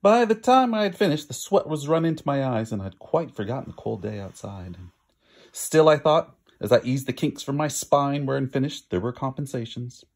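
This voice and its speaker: A man reads a picture book aloud with lots of drama. His pitch moves around freely over a creaky, slightly rough voice, and he lingers on key words for emphasis. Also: big pitch swings. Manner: theatrical, drawn-out emphasis. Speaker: storybook reader.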